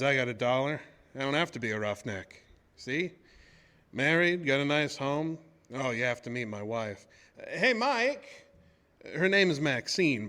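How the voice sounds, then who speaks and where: An actor playing a chatty, folksy retired oil roughneck in an old-time radio monologue, talking in clipped asides. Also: male voice, mid-range voice, fairly bright tone. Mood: calling out. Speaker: audio drama actor.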